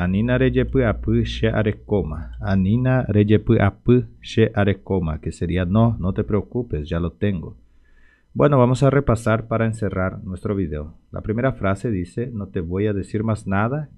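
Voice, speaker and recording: Deep-voiced Paraguayan man teaching phrases. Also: highs cut off. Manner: slow, careful repetition of phrases. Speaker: language teacher. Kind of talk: casual spoken lesson.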